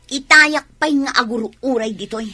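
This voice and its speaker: A woman playing a jealous, taunting lover in a radio drama, with a Filipino accent and a melodramatic, sharp-tongued delivery. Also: on the high side, measured pace, exaggerated voice. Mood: irritated, scornful.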